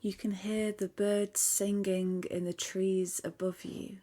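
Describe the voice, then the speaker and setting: A woman leading a guided meditation in a soft, calm voice that often drops to a near-whisper. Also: mid-range voice, slow pace. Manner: soft, calm, hushed, half-whispered at times.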